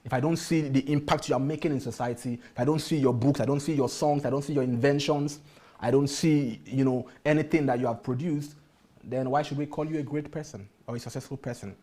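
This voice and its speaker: A man's voice: mid-range voice, fairly even intonation, a little fast, warm tone. A man speaking at a motivational workshop, emphatic, repeating key phrases and putting rhetorical questions to his audience.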